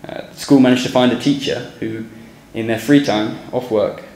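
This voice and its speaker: A young man, a former student, giving a measured, sincere speech from a podium on a school stage, with some hesitant restarts. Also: mid-range voice, steady tone, darkish tone. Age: young adult. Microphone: podium microphone. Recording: echoey hall, faint background noise.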